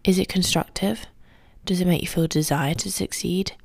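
Soft-spoken woman, low and gravelly. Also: moderate pace, no room sound. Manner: soft, calm and quiet, often near a whisper. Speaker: podcast host and ballet teacher. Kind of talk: solo podcast advice talk. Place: quiet home early in the morning.

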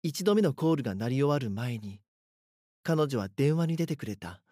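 A haunted teenage boy, voiced by a man, tells his own horror story in a radio-play style. He sounds earnest and dramatic, with a middling pitch and a close, dry sound. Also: animated, normal speed, warm tone, no room sound, close to an everyday voice. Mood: tense, hushed. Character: frightened schoolboy haunted by his doppelganger. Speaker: voice actor narrating a drama. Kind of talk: first-person scripted horror narration.

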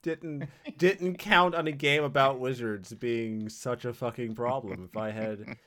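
A man in his thirties hosting a casual podcast, ranting with lots of swearing and sudden loud outbursts. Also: mid-range voice, unhurried. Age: 30s. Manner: profane, animated rants with sudden loud outbursts. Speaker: podcast host.

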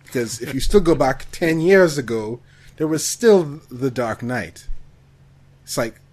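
A man co-hosting a podcast, talking casually about films with animated asides and mock quotes. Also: medium pitch, a little slow. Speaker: podcast co-host. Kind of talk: casual film discussion.

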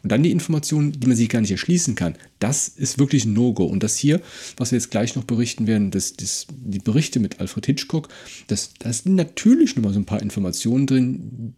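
A man with a husky, relaxed, low-lit tone speaks as a podcast co-host. He discusses and critiques in a casual style, often doubling up on words of agreement. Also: a little fast. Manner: conversational, repeats agreeing words.

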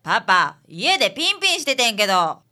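A woman's voice: a little high, big pitch swings, fast talker, sharp tone. A woman playing a flustered, feisty young female detective's assistant with a Kansai accent in a radio drama. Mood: indignant, flustered.

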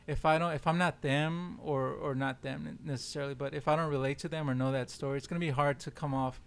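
Young guy, spanish first language, talking film stuff super casual, kinda high voice, real clean sound. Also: fairly even intonation, fast talker, vocal fry, smooth. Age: young adult. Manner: loose slangy talk full of "like" and "yo". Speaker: podcast guest, film director. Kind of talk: casual chat.

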